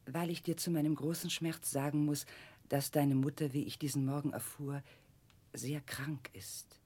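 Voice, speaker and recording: An actress playing a kindly headmaster's wife in a 1960s radio play, speaking gravely and carefully in a husky voice. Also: female voice, low voice, fairly even intonation, moderate pace, mellow. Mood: sorrowful.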